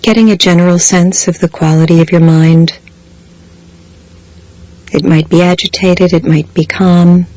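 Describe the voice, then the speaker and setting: A woman guiding a body scan meditation in a slow, measured way, leaving long pauses between phrases. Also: fairly low pitch, steady tone, a little mellow. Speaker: meditation guide. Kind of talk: guided body scan meditation, scripted soothing instruction.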